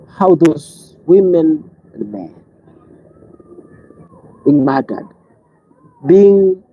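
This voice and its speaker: A commentator giving an impassioned monologue. The speaker is preachy, asks rhetorical question after question, and swings between loud outbursts and low pleading. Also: slightly rough, muffled recording. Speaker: online commentator.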